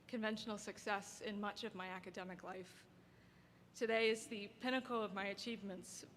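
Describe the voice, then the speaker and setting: A soft-spoken young woman giving an earnest, heartfelt graduation speech as an award recipient, at a podium microphone. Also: mid-range voice. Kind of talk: formal prepared speech. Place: ceremony hall. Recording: long hall reverb, faint background noise.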